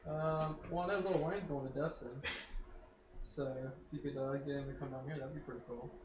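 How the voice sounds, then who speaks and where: A man's voice: a little high, fairly even intonation, brisk, a little mellow, slight background noise. A man hosting a casual interview, asking his questions in a laid-back, hesitant way. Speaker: podcast host. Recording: roomy, dull sound.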